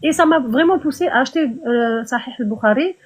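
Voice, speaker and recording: A woman with a husky, slightly high voice argues her side over an online live-stream call. She keeps stumbling and restarting her words, yet stays earnest and insistent. The talk is loose and conversational. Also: expressive, a little fast. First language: Arabic. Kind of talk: heated casual debate.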